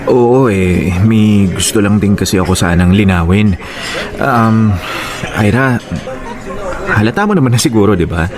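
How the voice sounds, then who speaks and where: A man playing a shy, nervous young suitor in a Tagalog radio drama, speaking hesitantly and gently with stammers. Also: lowish voice, measured pace, pauses often, natural voice. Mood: hesitant, earnest.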